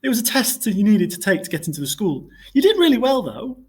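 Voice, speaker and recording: A man voicing a caring, gently persuasive mother in a storybook. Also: high voice, very animated, quick pace, slightly rough, pitched above the performer's own voice, full character voice. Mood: apologetic.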